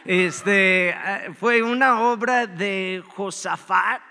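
A man with an American accent, a pastor giving a relaxed, joking sermon with personal testimony and many filler words. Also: high voice, extremely slow, creaky, clear, resonant tone. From United States. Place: church hall. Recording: echoey church hall.

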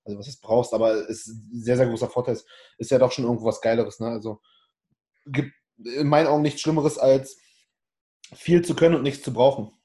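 A man's voice: mid-range voice, fairly even intonation, brisk, dark tone. A man hosting a casual interview, asking loose, chatty questions. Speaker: podcast host.

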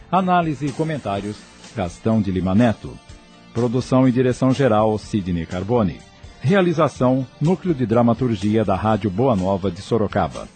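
A Brazilian man reading scripted credits as a radio announcer, formal and clearly phrased. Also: on the low side, a little mellow.

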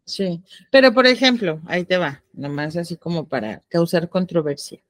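A woman's voice: lowish voice, expressive, moderate pace, no room sound, clean studio sound. A young woman with a Mexican accent co-hosting a casual podcast, explaining ideas and posing questions to her partner. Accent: Mexican Spanish. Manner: inquisitive, explains and poses questions, laughs easily. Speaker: podcast co-host. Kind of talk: casual chat.

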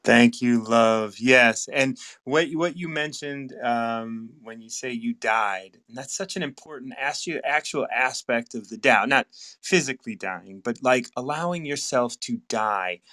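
A man's voice: medium pitch, unhurried, pushed and bright. A man hosting a casual podcast interview over a video call. He is affirming and enthusiastic and laughs easily. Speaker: podcast host.

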